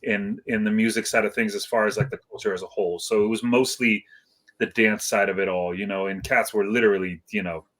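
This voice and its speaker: A man's voice: fairly low pitch, fairly even intonation, brisk, a bit bright. A middle-aged man from Iceland, a guest DJ in a casual interview, talking in a laid-back, modest way with many fillers and restarts.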